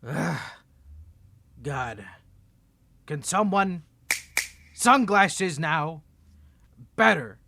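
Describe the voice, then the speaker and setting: Man acting a bright, menacing male vampire villain. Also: on the high side, very animated, extremely slow, creaky, long pauses, slight background noise, heavily acted. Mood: irritated, commanding. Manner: theatrical, coaxing, condescending with pet names. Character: insane male vampire villain, seductive, menacing, toying with prey. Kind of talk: roleplay audio drama, intimate direct address to listener.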